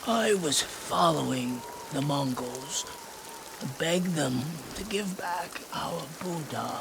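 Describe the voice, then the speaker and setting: An actor playing a weak, wounded man in a video game, speaking in a halting, strained, creaky voice. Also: on the high side, expressive, noisy background, heavily acted. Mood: weak, pained. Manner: halting, labored. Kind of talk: video game cutscene acting.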